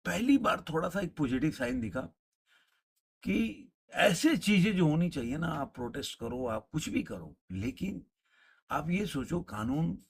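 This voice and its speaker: Husky man talking off the cuff. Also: medium pitch. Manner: halting, conversational, repeats and rephrases points. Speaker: commentator giving his opinion. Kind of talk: unscripted opinion talk on current affairs.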